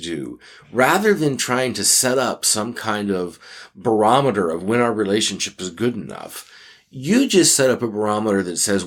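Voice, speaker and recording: A man co-hosting an advice podcast, speaking conversationally with emphatic, deliberate phrasing and dramatic pauses. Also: lowish voice, slightly rough. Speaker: podcast co-host.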